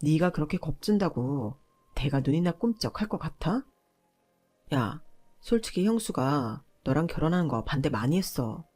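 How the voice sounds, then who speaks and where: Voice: medium pitch, big pitch swings, moderate pace, darkish tone, slightly rough. Arrogant, sneering young husband acted by a narrator. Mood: smug, dismissive. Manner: scornful, bossy, confrontational. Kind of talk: acted dialogue in a narrated marriage story.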